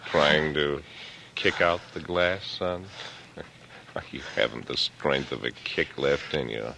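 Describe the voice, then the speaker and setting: An actor playing a smug, calculating middle-aged killer in an old American radio drama, speaking in a taunting, deliberate, condescending way. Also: male voice, extremely low pitch, vocal fry, sharp tone, heavily acted. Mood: cold, taunting. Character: coolly menacing older killer, smug, patronizing and calculating. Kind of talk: 1940s radio drama acting. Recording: noisy vintage radio broadcast recording.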